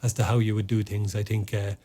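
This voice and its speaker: Hesitant Irish man, low and flat. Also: fast talker. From Ireland. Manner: hesitant, many fillers and restarts. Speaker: podcast guest, former soldier. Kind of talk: casual interview conversation.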